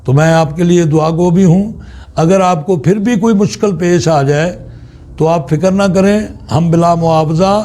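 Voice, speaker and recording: A man with a Pakistani accent giving a religious teaching talk as a spiritual guide, in deliberate, halting phrases with pauses. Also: a little high, dark tone.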